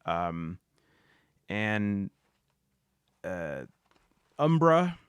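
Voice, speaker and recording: Slow, halting man with a smooth voice. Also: on the low side, big pitch swings, no echo. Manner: halting, many fillers and restarts. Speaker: solo tabletop game show host. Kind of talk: casual talk to camera.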